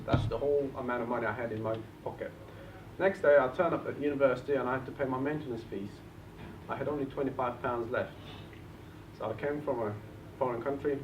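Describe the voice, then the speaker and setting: A man in his thirties from Bulgaria with a Bulgarian accent, giving an earnest motivational talk at a property seminar. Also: mid-range voice. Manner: earnest, rallies the crowd. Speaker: property investment speaker. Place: event hall. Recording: roomy, distant, dull lo-fi with faint noise.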